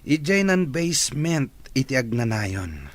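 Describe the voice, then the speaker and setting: An actor playing a callous, gloating villain's accomplice in an Ilocano radio drama. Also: male voice, medium pitch, big pitch swings, unhurried, dry recording.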